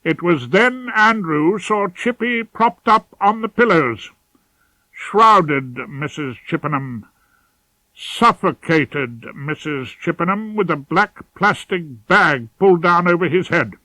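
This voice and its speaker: A man's voice: high voice, expressive, slow pace, bright, forceful tone, no echo, pitched above the performer's own voice, heavily acted, low-quality recording. A man playing a wry, dogged old male defence barrister in an audiobook, theatrical and insistent in manner. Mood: insistent, accusing. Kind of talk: audiobook character dialogue.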